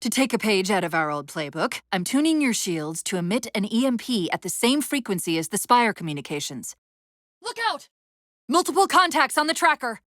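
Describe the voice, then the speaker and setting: A woman voicing a video game's female AI companion, an urgent, wry military guide with crisp, technical, commanding delivery. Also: mid-range voice, sing-song, a little fast, a bit bright, no room sound, clean studio sound. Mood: dry, wry. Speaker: video game voice actor. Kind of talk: video game dialogue, tactical callouts.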